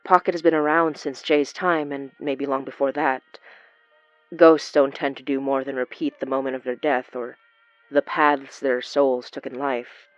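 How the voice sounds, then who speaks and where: An actress playing a warm, earnest young woman in an audio drama, gentle and reflective, with sighs. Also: extremely low pitch, flat delivery, normal speed, no echo, dull audio, soft background music. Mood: earnest, probing. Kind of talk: naturalistic audio drama acting.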